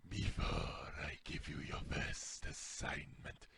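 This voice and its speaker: A man playing a deep, gravelly Argonian lizard guild official in a fantasy game, speaking slowly, haltingly and formally. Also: bright, forceful tone, slight background noise. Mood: formal, welcoming. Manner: slow, halting, formal delivery. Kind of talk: scripted fantasy video game dialogue.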